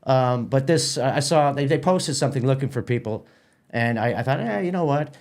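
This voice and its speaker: Middle-aged Canadian man, halting and conversational. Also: medium pitch, lively intonation, darkish tone. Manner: halting, repeats words as he explains. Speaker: theatre company president, interview guest. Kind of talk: casual conversational interview.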